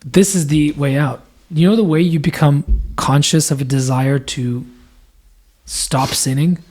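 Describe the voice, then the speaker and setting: Scratchy-voiced deacon, earnest and thoughtful. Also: male voice, on the high side, steady tone, a little slow, darkish tone. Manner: earnest, builds ideas with repetition. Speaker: deacon guest. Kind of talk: thoughtful conversational explanation.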